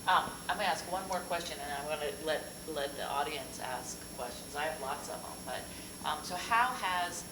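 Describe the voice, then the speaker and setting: Low-voiced woman moderator, distant and echoey. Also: normal speed. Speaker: panel moderator. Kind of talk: moderating a panel. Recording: distant echoey room with noise.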